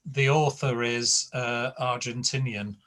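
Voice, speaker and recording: A man running a class over a video call speaks, unhurried and full of ums. His pitch sits in the middle, and his pace is very slow. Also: steady tone, fairly bright tone, slight background noise. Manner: slow, hesitant, filler-heavy. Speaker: teacher. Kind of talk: online class.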